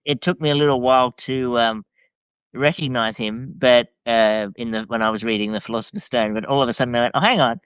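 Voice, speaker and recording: A man's voice: medium pitch, a little fast, a bit bright, clean tone, no echo. Enthusiastic man, slightly dull recording. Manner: enthusiastic, informal. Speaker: podcast guest. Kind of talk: casual chat.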